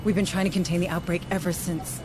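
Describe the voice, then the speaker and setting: Low-voiced, resolute female administrator, acted. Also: fairly even intonation, fast talker, music in the background, close to an everyday voice. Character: earnest, resolute female deputy station administrator. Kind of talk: scripted video game cutscene acting.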